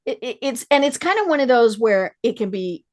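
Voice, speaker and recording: A woman's voice: a little high, expressive, brisk, darkish tone. Chatty woman host, lots of mm-hmms. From Colorado. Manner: frequent affirming backchannels, chatty. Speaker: podcast host. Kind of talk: conversational interview.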